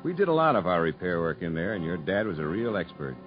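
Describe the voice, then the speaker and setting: Deep voice, gruff prison captain, American, authoritative, very expressive pitch, old muffled radio drama. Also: male voice, fast talker, faint music behind. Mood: skeptical, measured. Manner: authoritative, blunt. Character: gruff prison captain, skeptical but fair. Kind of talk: 1950s radio drama acting. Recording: muffled vintage 1950s radio recording.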